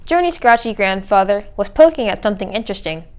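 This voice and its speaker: A woman's voice: mid-range voice, animated, fast talker, clear, resonant tone, highs cut off. A woman reading a children's storybook aloud as narrator. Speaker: read-aloud reader.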